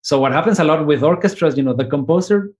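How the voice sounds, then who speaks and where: A man, a composer talking through his ideas in a relaxed interview, often restarting phrases and ending with a questioning "no?". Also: a little high, quick pace. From Mexico. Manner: thoughtful, many restarts and tag questions. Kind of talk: conversational interview.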